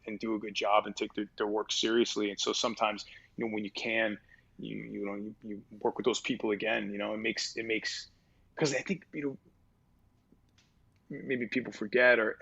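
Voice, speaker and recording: A man with a husky mid-register voice chats loosely in an interview, piling on fillers and restarts and tossing in casual swears. The sound is dull and lo-fi. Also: mid-range voice, a bit bright. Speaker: voice actor guest.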